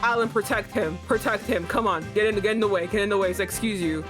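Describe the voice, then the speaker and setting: A woman hosting a game stream, excitable and laughing a lot, swearing freely as she reacts. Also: medium pitch, fast. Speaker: streamer, host. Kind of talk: casual game stream chat. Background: game music.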